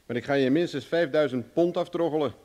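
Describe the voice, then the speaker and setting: A man's voice: a little high, fairly quick, darkish tone, lightly acted. An actor playing a self-assured, hard-bargaining man in a radio drama, commanding and mocking in manner. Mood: taunting, confident. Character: cocky, hard-bargaining male adventurer, threatening and mocking.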